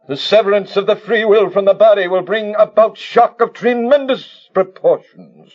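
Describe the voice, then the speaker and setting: Casting: grave alien leader-scientist, high voice, theatrical declamation, old sci-fi radio serial with dull lo-fi sound. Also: male voice, normal speed, a little mellow. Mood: commanding. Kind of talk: old-time radio sci-fi drama acting.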